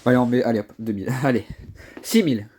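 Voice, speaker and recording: A young man with a stuffy cold, giving casual commentary on a mobile game, chatty and excitable, often repeating his words. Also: medium pitch, fast, noisy background. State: congested with a cold.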